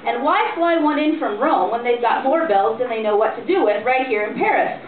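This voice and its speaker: A woman's voice: fairly high pitch, animated, brisk, distant mic. A woman giving a theatrical dramatic reading of a humorous story at a live cafe event. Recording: tinny, echoey, noisy room recording from afar. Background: audience laughter, room noise.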